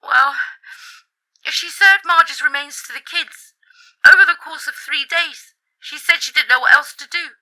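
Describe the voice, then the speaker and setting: A woman voicing a distraught young female school worker in an audio horror story, halting, stammering and tearfully confiding. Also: high voice, pushed and bright, voice pushed up, rough recording. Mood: hesitant, upset. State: tearful, upset.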